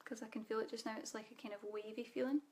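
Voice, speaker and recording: A Scottish woman leading a live online spiritual training, speaking softly and calmly, guiding listeners with frequent ums. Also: on the high side, fast. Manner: soft guided-meditation delivery with fillers. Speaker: spiritual teacher.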